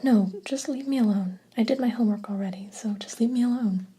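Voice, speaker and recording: A woman voicing a timid, nervous boy in a soft, breathy whisper. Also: on the high side, brisk.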